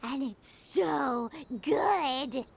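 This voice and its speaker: A woman voicing an excitable, childlike little companion girl in a video game, with a squeaky, chirpy delivery. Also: drawn-out words, creaky, slight background noise, exaggerated voice. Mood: excited, helpful. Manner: chirpy, refers to self in third person. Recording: game music under voice.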